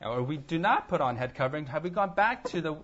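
Male sermon interpreter, lighter pitch, halting phrase-by-phrase delivery, dull lo-fi recording. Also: animated, fairly bright tone. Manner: halting, searching for words. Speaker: church interpreter. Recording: dull, lo-fi audio.

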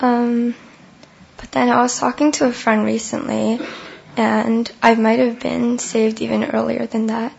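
A young adult woman with a hoarse, worn voice, giving her testimony at church in short chunks. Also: on the high side, level tone, measured pace, darkish tone, highs cut off, a little hiss or hum. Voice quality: gravelly, husky. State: hoarse, lost voice. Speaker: baptism candidate giving testimony.

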